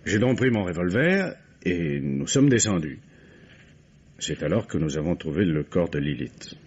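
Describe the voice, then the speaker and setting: Husky, low-voiced, formal bereaved count. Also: male voice, lively intonation, a little slow, a little mellow, exaggerated voice. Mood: earnest recounting. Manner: hesitant, formal, courteous. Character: count, grieving husband, writer, polite suspect being questioned. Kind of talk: old French radio mystery acting. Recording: dull vintage radio drama recording.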